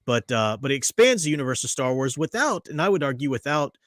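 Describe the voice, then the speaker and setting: A man's voice: mid-range voice, big pitch swings, quick pace, fairly bright tone, dry recording, studio quality. A man hosting a casual podcast discussion, rambling with frequent restarts and fillers. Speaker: podcast host.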